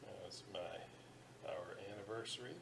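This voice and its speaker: Middle-aged guy at his electronics bench, slow and drawly, thinking out loud, faint room hum. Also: sits low, gravelly. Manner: unhurried, thinking aloud with fillers. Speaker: radio restoration hobbyist. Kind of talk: casual workbench demonstration. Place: home electronics workbench.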